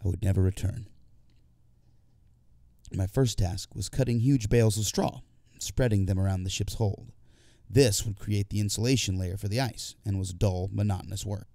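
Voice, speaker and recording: A man narrates a literary audiobook in the first person. His voice sits on the low side and is soft-edged, dark and relaxed. The sound is very dry, with no room around it. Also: normal speed, gravelly, no echo.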